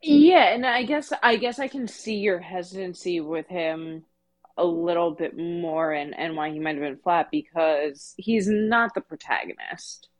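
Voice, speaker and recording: A woman co-hosting a podcast, talking through a story casually in a measured, reflective way. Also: on the low side, no echo. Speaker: podcast co-host. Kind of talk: casual book discussion.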